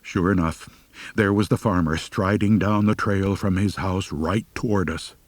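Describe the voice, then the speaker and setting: A man's voice: low voice, fairly even intonation, a little slow, dry recording. A man narrating a humorous first-person story as an audiobook reader, with a wry, mock-serious delivery.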